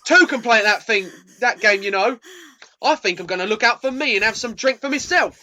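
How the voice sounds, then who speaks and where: A woman's voice: on the high side, expressive, a little fast, pushed and bright. A woman playing an angry, headstrong stranded passenger in an amateur radio play, combative and sarcastic. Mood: scornful.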